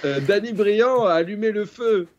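A man's voice: sits high, normal speed, dull audio, slight background noise. A man co-hosting a casual stream, joking and commenting on photos. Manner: joking, animated. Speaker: co-host.

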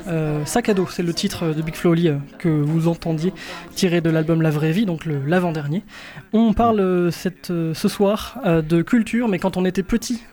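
A man's voice: on the high side, normal speed, warm tone. A man in his twenties hosting a casual radio chat show, talking loosely with frequent hesitations. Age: 20s. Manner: hesitant, many fillers. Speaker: radio host.

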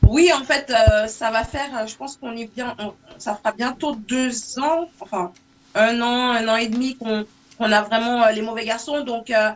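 A woman's voice: fairly high pitch, fairly even intonation, normal speed. A woman from Saint-Martin in the Caribbean, a native English speaker, talking casually as a singer being interviewed and feeling her way through broken phrases. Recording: noisy recording with clicks.

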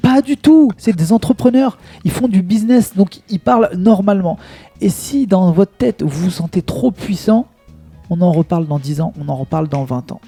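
A man's voice: high voice, expressive, moderate pace, dark tone, slightly rough, no room sound, soft background music. A man co-hosting a podcast, ranting emphatically and scolding his listeners, repeating phrases for force. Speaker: podcast co-host. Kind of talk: heated podcast rant.

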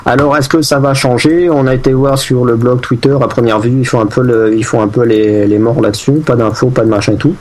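Male co-host, loose and rambling, full of "euh", mid-pitched, joking around. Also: steady tone, fairly quick, few pauses, darkish tone. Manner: rambling, many fillers and restarts; jokey, fake accents for laughs. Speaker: podcast co-host, tech news segment. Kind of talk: casual tech podcast chat.

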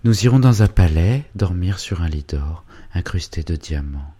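A man's voice: sits low, animated, moderate pace, dark tone, breathy edge. A man reading a storybook voicing a naive young woman who dreams aloud, airy and rapturous. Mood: dreamy.

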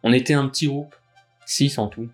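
A man's voice: mid-range voice, steady tone, normal speed, faint music behind, lightly acted. A man playing a hesitant young robbery suspect. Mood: hesitant, confiding. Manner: hesitant, informal, stammering. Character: nervous, hesitant young male robbery suspect, naive rookie. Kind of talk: scripted audio drama dialogue and recounting.

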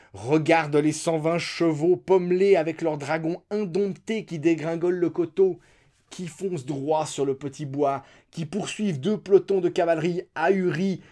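A man voicing a raving, unhinged old general who bellows battle cries in a dramatic story reading. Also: natural voice. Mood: roaring. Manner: roaring battle cries, sudden high shouts.

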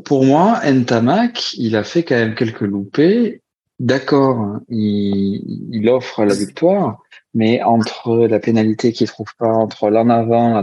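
A man on a rugby talk show, giving a measured, analytical breakdown of a match. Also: fairly low pitch, dark tone, slightly rough, no room sound. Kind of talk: casual sports analysis. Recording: dry, dull lo-fi sound.

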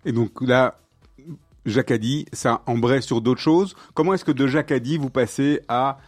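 A man's voice: medium pitch, normal speed, faint music behind. A man hosting an interview, asking probing questions and rephrasing them as he goes, often stumbling at the start of a sentence.

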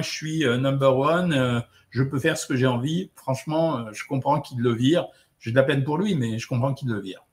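A middle-aged man speaks as a doctor answering viewer questions. His voice sits a little high, and his delivery is loose and conversational, full of "euh" and "hein". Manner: relaxed, chatty, lots of "euh" and "hein". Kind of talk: casual live Q&A, reading out comments.

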